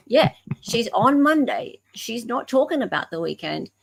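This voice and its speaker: A woman with an Australian accent co-hosting a casual discussion of legal emails, explaining things in a halting, self-correcting way. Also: on the high side, very animated, gravelly, poor audio. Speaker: podcast co-host.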